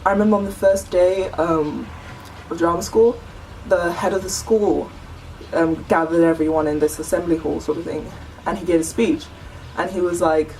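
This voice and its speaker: Young woman vlogger, deep low voice, chatty and earnest, slightly off-mic with faint hiss. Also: steady tone, normal speed, a little mellow, distant mic, low-quality recording. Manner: chatty, earnest, many "like" and "you know" fillers. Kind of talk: casual chat to camera.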